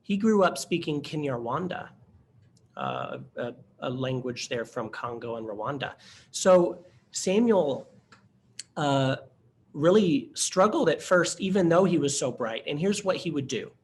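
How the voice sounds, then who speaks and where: A man's voice: fairly high pitch, sing-song, measured pace, darkish tone, a little hiss or hum. A man presenting a webinar to instructors, speaking earnestly and conversationally with frequent fillers and restarts. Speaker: workshop presenter.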